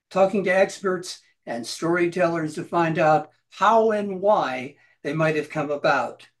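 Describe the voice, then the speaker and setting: Older man, higher-pitched voice. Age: older, 60s. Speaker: host interviewer. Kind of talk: measured interview hosting. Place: home room, on a video call. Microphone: headset.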